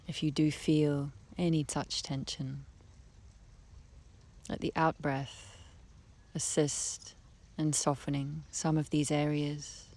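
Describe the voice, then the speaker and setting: A woman leading a guided meditation in a soft, breathy voice, speaking gently with long unhurried pauses. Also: low voice, little pitch movement. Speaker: guided relaxation teacher. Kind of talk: guided meditation, soothing slow instruction. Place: outdoors beside a harbour. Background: birdsong, trickling stream, breeze.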